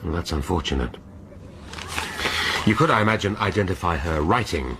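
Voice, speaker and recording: An actor playing a wry, weary police inspector in a radio drama, dry and sardonic in manner. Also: male voice, sits low, animated, moderate pace, noisy background.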